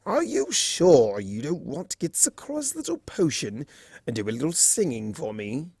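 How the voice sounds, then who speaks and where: A performer, a man, voicing a flamboyant, teasing male chimera trickster from a cartoon fan story, with theatrical drawn-out emphasis. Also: medium pitch, big pitch swings, darkish tone, husky, no echo, studio quality, voice pushed up. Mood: coaxing.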